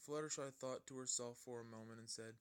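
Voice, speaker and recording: A man's voice: medium pitch, fairly even intonation, fast talker, smooth. A man narrating a fan fiction story in an amateur style, often stumbling and rereading his lines.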